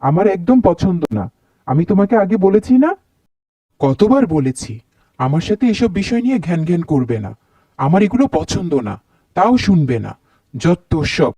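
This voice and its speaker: A man acts a dismissive young cartoon husband. He has a high, mellow male voice that he uses curtly and irritably. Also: very animated, moderate pace, long pauses, dry recording, full character voice. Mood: annoyed, dismissive. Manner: curt, nagging. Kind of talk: Bengali cartoon story dialogue.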